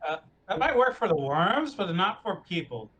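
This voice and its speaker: A man's voice: sits high, big pitch swings, normal speed, bright, forceful tone. A man chatting casually as a player in a tabletop roleplaying game. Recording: noisy background with clicks.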